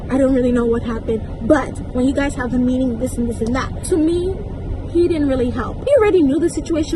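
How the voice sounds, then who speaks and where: A young woman telling a story casually, acting out conversations in an animated, indignant, emphatic way with slangy asides. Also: sits high, noisy background. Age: young adult. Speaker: vlogger.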